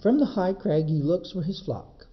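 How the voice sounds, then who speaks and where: A man reading a children's book as a librarian, in expressive narration with dramatic, measured phrasing. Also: high voice, muffled recording.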